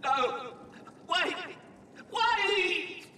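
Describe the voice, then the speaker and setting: An actor playing a frightened slave merchant in a video game, pleading for mercy in panic. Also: male voice, very high voice, expressive, extremely slow, sharp tone, full character voice. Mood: pleading, panicked. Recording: echoing reverberant hall, distant, faint noise.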